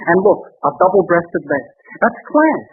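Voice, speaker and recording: A man's voice: high-pitched, big pitch swings, normal speed, husky, faint music behind, exaggerated voice. An actor playing a pushy, slangy cut-rate clothing salesman with insistent patter, in 1930s radio comedy style. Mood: eager hustling. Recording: muffled vintage 1930s radio broadcast.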